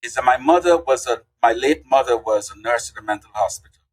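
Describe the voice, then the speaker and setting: A man's voice: medium pitch, a little fast, husky, low-quality recording. An older man, telling folksy stories as a podcast guest. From Guyana. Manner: animated, folksy storyteller. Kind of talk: casual interview storytelling.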